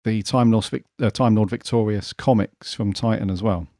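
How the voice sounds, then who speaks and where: A man's voice: on the low side, fairly even intonation, moderate pace, mellow, no echo, clean studio sound. A British man chatting casually and in a laid-back way as a podcast co-host. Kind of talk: casual chat.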